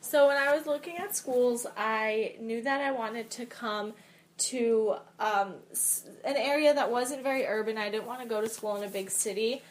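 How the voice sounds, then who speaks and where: A woman's voice: a little high, moderate pace. A young woman student, born in Poland and raised in Pennsylvania, chatting easily about her choices in an interview. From Poland, grew up in Pennsylvania. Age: young adult. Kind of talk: casual interview.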